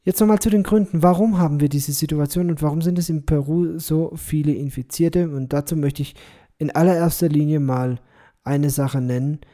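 A man's voice: on the high side, normal speed, mellow. A man speaking alone on his podcast as a missionary, explaining his views in a reflective way with frequent hesitations and restarts. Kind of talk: solo podcast commentary.

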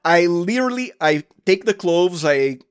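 Brazilian male guest, Portuguese native, slightly high voice, halting conversational delivery with frequent restarts. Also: lively intonation. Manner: halting, restarts phrases often, chuckles. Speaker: sound designer, interview guest. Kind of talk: casual interview chat.

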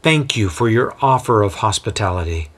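A man voicing a gentle traveling apostle who speaks calmly and deferentially. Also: lowish voice, moderate pace. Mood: measured. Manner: calm and deferential.

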